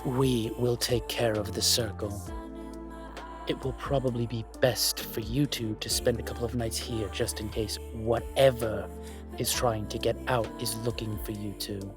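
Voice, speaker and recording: A man playing a blunt, protective male spirit in an audio drama, with a husky voice and a matter-of-fact delivery. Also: mid-range voice, fairly even intonation, measured pace, over music, lightly acted. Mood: calm, practical. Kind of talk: audio roleplay acting.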